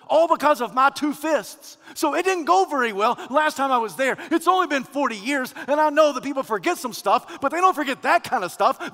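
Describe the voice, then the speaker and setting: A man's voice: very high-pitched, pushed and bright, in a large hall, pitched above the performer's own voice, full character voice. A man preaching, voicing Moses as a reluctant eighty-year-old prophet who pleads and protests. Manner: whiny, protesting, exasperated pleading.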